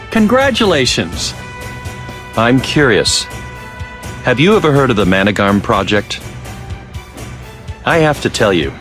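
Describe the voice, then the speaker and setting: A middle-aged male scientist in a game cutscene, genial and evasive. His mid-pitched voice moves with lively swings, and his phrasing is measured, courteous and wordy. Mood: flustered, friendly. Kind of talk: video game cutscene voice acting.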